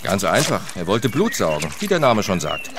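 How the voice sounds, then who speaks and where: A man's voice: lowish voice, wildly swooping pitch, moderate pace, heavy background noise. An actor playing a knowledgeable, practical male doctor who explains things reassuringly in an audio play. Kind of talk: audio drama acting.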